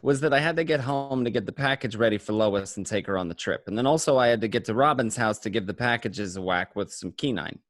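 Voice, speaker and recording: A man plays a stressed, bossy criminal in an amateur film-script read. He is insistent and repeats himself, with very lively pitch in a dry, close sound. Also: quick pace, few pauses, no room sound, lightly acted. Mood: harried. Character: Henry, stressed, bossy male criminal juggling errands.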